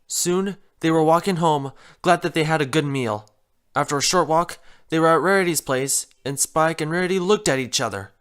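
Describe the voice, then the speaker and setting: A narrator reading a story aloud in an expressive scripted style. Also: mid-range voice, moderate pace. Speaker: story reader.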